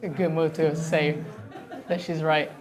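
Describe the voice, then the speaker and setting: A woman with an Italian accent and a notably low voice chats in a relaxed interview. She laughs easily and slips into little acted-out quotes of what other people said. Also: moderate pace, crowd noise. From Italy. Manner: chatty, laughs often, acts out quoted dialogue. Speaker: professional sailor guest. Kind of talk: casual interview conversation.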